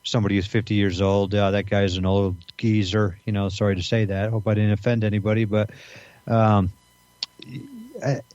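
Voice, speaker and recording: A man in his fifties co-hosting a podcast, talking in halting casual chat full of fillers. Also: on the low side, flat delivery, a little mellow, no echo. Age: 50s. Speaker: podcast co-host. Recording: dry, dull lo-fi sound.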